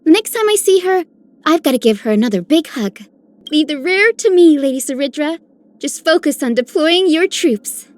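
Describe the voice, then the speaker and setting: An actress playing a cheerful, affectionate young game heroine in a bubbly, eager manner. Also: female voice, sits high, expressive, moderate pace, no echo. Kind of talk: scripted game character lines.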